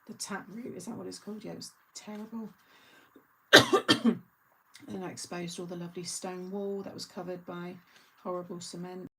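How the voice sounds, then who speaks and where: Chatty lady, kinda low and gravelly, laughs a lot, light hiss behind. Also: bright, forceful tone, rough recording. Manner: chatty, laughs often, rambling asides. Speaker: craft channel host. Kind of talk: casual live chat.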